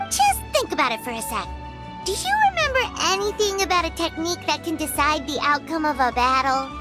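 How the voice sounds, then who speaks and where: A woman's voice: high voice, big pitch swings, fairly quick, loud music behind the voice. A woman voicing a small childlike fairy sidekick in a video game, chirpy, informal, eager and cheeky. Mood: curious, eager.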